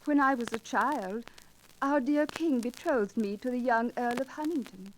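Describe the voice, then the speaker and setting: An actress playing a gentle, earnest young noblewoman with refined, archaic speech in a 1940s radio drama. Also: on the high side, normal speed, close to an everyday voice. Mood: anxious pleading. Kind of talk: 1940s radio romance acting. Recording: noisy 1940s radio recording with clicks.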